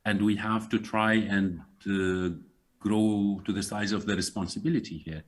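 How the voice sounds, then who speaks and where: A man from Syria, speaking as a guest in a panel conversation in an earnest, measured way, often pausing to find his words. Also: on the low side, fairly even intonation.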